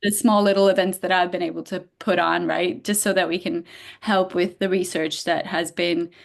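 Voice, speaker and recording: A woman from Bulgaria, a guest in a casual interview, talking in an animated way with frequent filler words and quick laughs. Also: fairly low pitch, gravelly, slight background noise.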